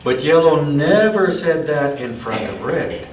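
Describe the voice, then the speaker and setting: A man reading a children's story aloud with dramatic pauses, breaking off to chat with listeners. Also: on the high side, big pitch swings. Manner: dramatic, deliberate pauses and emphasis; interactive, chatting with listeners. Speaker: storyteller reading to an all-ages church group. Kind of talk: read-aloud children's story with casual asides. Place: church, indoors. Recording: echoey room, distant mic, faint noise.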